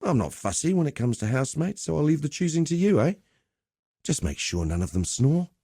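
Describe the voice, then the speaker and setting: An actor playing a roguish, cheerful veteran pit fighter in a video game, talking in a colloquial, wry, swaggering storyteller's way. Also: male voice, fairly high pitch, sing-song, a little fast, warm tone, husky, no echo, clean studio sound, exaggerated voice. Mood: jovial, inviting. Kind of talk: scripted game dialogue.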